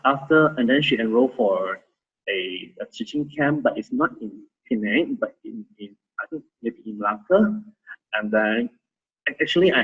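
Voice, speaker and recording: A man in his thirties with a Malaysian accent, sharing his story as a volunteer in a halting way with many restarts. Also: medium pitch, husky, lo-fi sound. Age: 30s. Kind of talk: casual testimony sharing.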